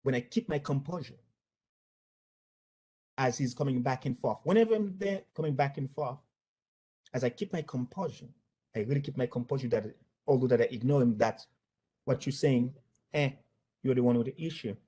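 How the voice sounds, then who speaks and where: A man from Haiti with a Haitian accent gives a spontaneous account of workplace incidents, speaking haltingly and often repeating words and phrases. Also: mid-range voice, dark tone, breathy edge. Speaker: former bank employee giving testimony.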